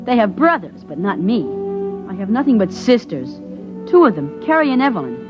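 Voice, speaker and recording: A woman's voice: mid-range voice, big pitch swings, moderate pace, close to an everyday voice. A woman playing a bored, wisecracking young boy who narrates the story in a 1950s radio drama. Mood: grumbling. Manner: wisecracking, sulky kid narrating. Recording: dull vintage radio recording with music.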